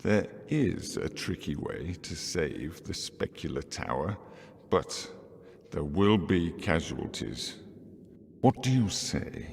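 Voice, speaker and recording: An actor playing a grim, world-weary healer in a video game, brooding and dramatic, fatalistic yet defiant. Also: male voice, low voice, big pitch swings, slow pace, creaky, exaggerated voice. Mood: grave. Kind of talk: video game cutscene acting. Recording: long hall reverb.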